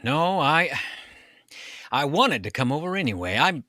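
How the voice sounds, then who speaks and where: A man's voice: a little high, big pitch swings, measured pace, bright, forceful tone, no room sound, studio quality, heavily acted. A man playing a jealous, exasperated husband in a 1940s-style radio sitcom, with emphatic delivery. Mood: sheepish.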